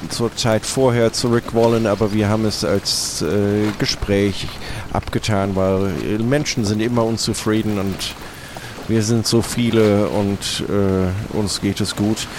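Male actor as grave, helpful crewman, lowish voice, halting improvised audio-play delivery, watery noise behind. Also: level tone, natural voice. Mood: grave, earnest.

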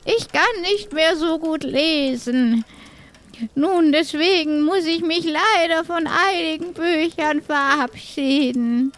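Very high, slow old pirate woman, acted by a woman. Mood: wistful. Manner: slow, halting, wistful, polite. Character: elderly female pirate selling her old books, lonely. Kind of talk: improvised audio drama role-play.

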